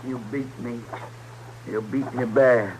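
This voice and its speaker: An actor playing a simple-minded, trusting young outlaw in an old radio Western, with slow, halting, plaintive speech and an American Western drawl. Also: male voice, medium pitch, fairly even intonation, lightly acted. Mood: wounded, childlike. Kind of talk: old-time Western radio drama acting. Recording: noisy vintage radio recording.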